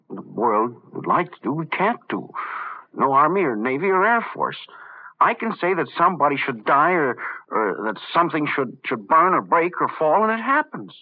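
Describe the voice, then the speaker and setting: An actor in an old radio drama playing an ordinary, halting man who has found menacing power. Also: medium pitch, husky, heavily acted. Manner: halting, repeating words. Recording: muffled, phone-like vintage radio recording.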